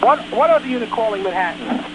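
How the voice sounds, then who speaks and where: A man's voice: high voice, expressive, quick pace. A man working as a fire dispatcher, giving firm, commanding orders over emergency radio and repeating them. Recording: noisy emergency radio traffic. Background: loud radio noise.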